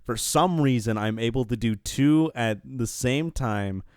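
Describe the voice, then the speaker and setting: A young man on a podcast panel, chatting casually in a blunt, sweary, excitable way. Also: medium pitch, unhurried, clean tone, no echo, studio quality. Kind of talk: casual chat.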